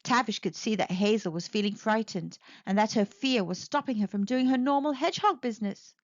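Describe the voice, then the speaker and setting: A woman storyteller reading a children's picture book aloud with expressive narration. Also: mid-range voice, a little fast, dry recording, muffled recording.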